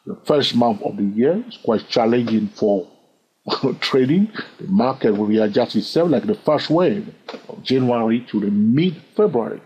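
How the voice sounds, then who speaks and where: A man teaching a chart lesson over his screen, animated and full of rhetorical questions, chuckling now and then. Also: mid-range voice, unhurried. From lives in London. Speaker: trading educator. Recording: dull lo-fi sound.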